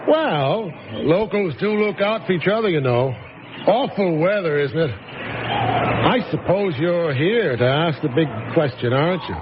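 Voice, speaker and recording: A man's voice: on the high side, expressive, unhurried. A man playing a jovial, eccentric reclusive writer in a radio drama, hearty and theatrical. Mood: genial hospitable. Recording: dull audio with loud background noise.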